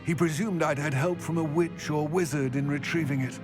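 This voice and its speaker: A man's voice: a little high, steady tone, natural voice. Man voicing a grave, weary male goblin, music behind. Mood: grave, explaining. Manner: formal, measured, wordy. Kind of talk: video game cutscene acting.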